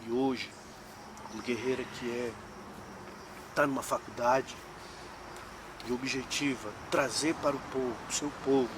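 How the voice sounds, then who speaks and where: A man's voice: medium pitch, steady tone, measured pace, long pauses, noisy background. A Brazilian man interviewing, speaking formally and at length in legal terms. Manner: formal, long-winded, legalistic phrasing. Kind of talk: interview questions.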